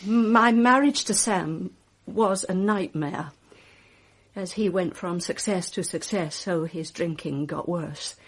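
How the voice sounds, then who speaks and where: An actress playing a wounded, fragile film star under suspicion in a radio mystery, halting and dramatic. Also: female voice, on the low side, breathy edge, heavily acted. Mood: anguished. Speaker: actor.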